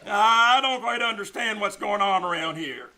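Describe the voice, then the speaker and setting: A man's voice: sits high, moderate pace. A man playing a pompous, easily outraged boss with an emphatic delivery, in a live old-time radio comedy. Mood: indignant. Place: small-town theater stage, live performance. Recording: noisy live theater stage recording.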